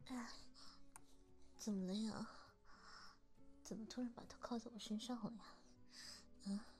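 A woman in a whispered ASMR roleplay, gently coaxing a younger boy as his hairdresser, in a soft big-sister tone, close to the microphone. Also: a little high, steady tone, a little slow, soft background music.

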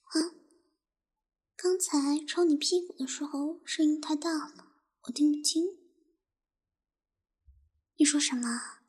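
Young woman as a taunting cruel tormentor, high bright voice, drawn-out slow delivery, mocking whispers. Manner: teasing, mocking, drifts into whispers; laughs softly between taunts. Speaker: voice actor in audio roleplay. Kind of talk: intimate audio roleplay.

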